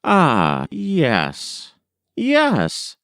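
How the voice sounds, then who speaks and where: A man voicing a deliberate, formal, cautious lawyer in an audiobook story, with old-fashioned courteous phrasing. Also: a little high, huge pitch swings, fairly bright tone, no room sound, clean studio sound, pitched above the performer's own voice, heavily acted. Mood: pondering. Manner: slow, formal, archaic thee and thy. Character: deliberate, formal Quaker-spoken male lawyer, cautious temper.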